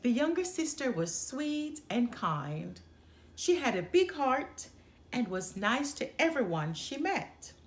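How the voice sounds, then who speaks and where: A woman hosting story time, reading a children's storybook aloud in an expressive narrating style. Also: high voice, slow pace. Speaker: storytime host.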